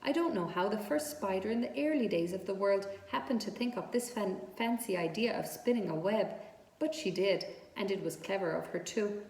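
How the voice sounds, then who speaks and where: A woman's voice: medium pitch, normal speed, a little mellow, far from the mic, a little hiss or hum, close to an everyday voice. Storybook spider, female, acted by a woman: genteel, frank, matter-of-fact, thin pleasant tone, echoing room. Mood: frank, firm. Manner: matter-of-fact, precise, unapologetic.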